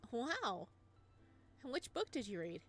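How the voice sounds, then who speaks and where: A woman's voice: fairly high pitch, sing-song, takes it slowly, faint music behind. A woman voicing a teasing, playful young librarian who is an old friend of the hero, read from a visual novel. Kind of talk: reading a visual novel aloud.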